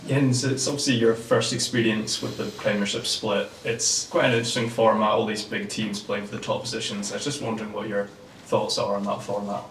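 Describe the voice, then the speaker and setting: A man's voice: on the low side, flat delivery, fairly quick, few pauses, fairly bright tone, far from the mic, slight background noise. A man working as a sports journalist asks long questions at a press conference. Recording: roomy press room, off-mic.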